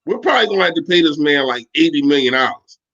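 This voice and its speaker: A man co-hosting a postgame sports talk show, animated, stumbling over his words and restarting. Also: a little high, fast, gravelly, highs cut off. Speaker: sports podcast co-host.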